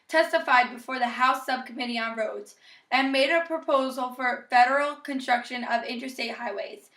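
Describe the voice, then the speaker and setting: A young woman presents a scripted, 1950s-style student newscast. Her voice is on the high side with a bright, forward edge. The delivery is rehearsed and presentational. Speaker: news anchor.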